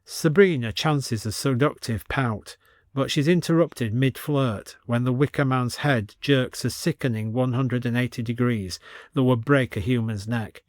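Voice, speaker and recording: A man's voice: mid-range voice, a little slow, no room sound, clean studio sound. A man reading scripted comic fiction as an audiobook narrator.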